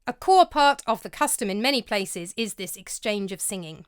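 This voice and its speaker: Female vlog narrator, scripted and scholarly, bright lilting delivery, bone-dry studio read. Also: mid-range voice, animated, normal speed, clean tone, dry recording. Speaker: vlogger and narrator. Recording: dry close-mic voice-over.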